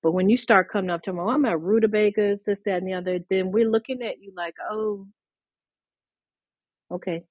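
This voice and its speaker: A woman's voice: mid-range voice, a little fast, husky, dry recording, highs cut off. A woman author and scholar talking in a thoughtful, lecturing way, with restarts and laughs, in a podcast conversation. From mid-Atlantic United States.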